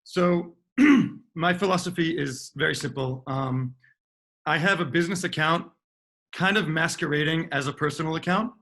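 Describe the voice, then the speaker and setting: A man with a scratchy, husky voice and a rough, gravelly edge, speaking a touch higher than most men. He chats easily as a dentist on a panel, agreeable and conversational, pausing to clear his throat. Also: a bit bright. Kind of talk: casual panel discussion.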